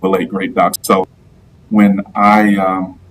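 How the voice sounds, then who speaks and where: A man from Georgia with a deep baritone voice, telling his story as a guest in a casual interview with clear announcer diction. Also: monotone, slow, distant mic, slight background noise, low-quality recording. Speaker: guest, live streamer and football PA announcer.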